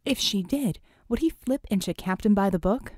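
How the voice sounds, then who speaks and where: A woman's voice: mid-range voice, lively intonation, normal speed, darkish tone, no room sound. A woman reading scripted fiction expressively as an audiobook narrator.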